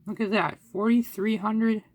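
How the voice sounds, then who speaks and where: A man's voice: sits high, steady tone, measured pace. A man casually narrating his own computer tinkering and gameplay, thinking aloud and often restarting his sentences. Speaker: hardware hobbyist vlogger. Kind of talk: casual self-narrated screen recording.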